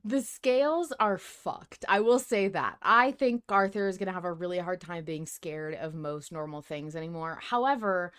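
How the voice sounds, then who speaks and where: A woman's voice: lowish voice, normal speed, hardly any pauses, fairly bright tone, smooth. A woman hosting a podcast, chatting casually about fiction as a fan in a playfully dramatic way. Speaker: podcast host.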